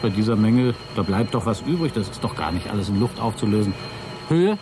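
An actor playing a cocky, wisecracking astronaut pilot in a German radio play, with a breezy, excitable manner. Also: male voice, brisk, close to an everyday voice. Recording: noisy rumbling vehicle ambience.

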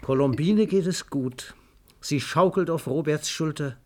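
Male radio storyteller, voice slightly on the high side, theatrical and emphatic scripted narration. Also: measured pace, darkish tone.

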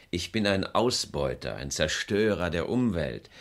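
An actor playing a troubled, deferential property dealer in a radio crime drama, polite and uneasy. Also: male voice, deep voice, very animated, takes it slowly, heavily acted. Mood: polite, aggrieved. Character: troubled, deferential male property dealer visiting an older friend.

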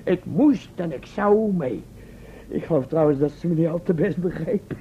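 Casting: cheeky, high-pitched braggart adventurer for a radio serial, colloquial storyteller; tinny, hissy old recording. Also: male voice, expressive, moderate pace. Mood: cheerful bragging. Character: breezy, boastful male time-travel test subject, unschooled. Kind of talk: radio play acting.

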